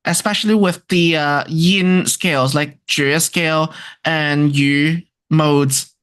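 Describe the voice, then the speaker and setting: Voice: mid-range voice, fairly even intonation, takes it slowly, fairly bright tone, clean tone. A speaker in an informal scholarly discussion, talking hesitantly and often searching for words. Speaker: textbook author and music researcher.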